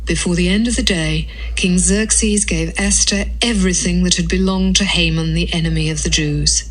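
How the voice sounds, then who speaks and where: A woman's voice: lowish voice, low-quality recording. A woman narrating a scripted, dramatised Bible reading.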